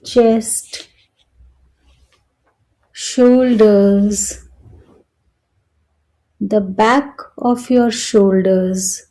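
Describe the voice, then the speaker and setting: A woman guiding a meditation in a calm, soothing manner, speaking in short phrases with long pauses between them. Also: on the high side, rough recording. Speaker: meditation guide. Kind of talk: slow guided meditation narration.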